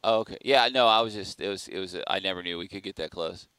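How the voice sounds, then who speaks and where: A man's voice: low voice, fast talker, pushed and bright, clean tone, dry recording. A man working as a TV director, calling cues and chatting informally with crew. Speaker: TV director in control room.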